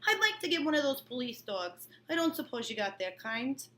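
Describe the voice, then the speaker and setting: An eager, affected New York wife, as a female reader plays her, gushes earnestly in a storybook reading. Her voice is raised, bright and very lively in pitch. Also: a little fast, a little hiss or hum. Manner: gushing, earnest. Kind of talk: acted dialogue in a read-aloud novel.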